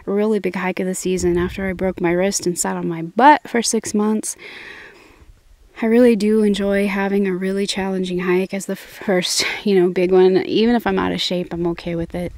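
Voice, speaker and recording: A woman's voice: mid-range voice, fairly even intonation, moderate pace, darkish tone, no echo. A middle-aged woman narrating her mountain hike to a handheld camera outdoors, often dropping to a near whisper. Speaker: hiker filming a vlog. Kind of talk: casual spoken trail narration.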